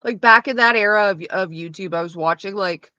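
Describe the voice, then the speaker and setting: A Canadian woman chatting loosely on a livestream, rambling with lots of swearing. Her voice is bright and forceful. The sound is dull and lo-fi in a dry, dead space. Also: medium pitch, brisk, dry recording. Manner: rambling and profane, loose with frequent fillers. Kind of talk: casual livestream chat with viewers.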